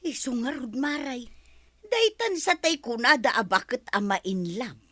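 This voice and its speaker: A woman's voice: fairly high pitch, expressive, unhurried, pushed and bright, no room sound, heavily acted. An actress playing a chatty, teasing village woman in a comedy radio drama, speaking with an Ilocano accent.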